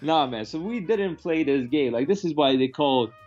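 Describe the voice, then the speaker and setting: A man's voice: fairly high pitch, expressive, moderate pace, a little mellow, muffled recording, soft background music. A man chatting casually about films in a rambling, opinionated way, with some profanity. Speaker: movie review host. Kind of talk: casual film review chat.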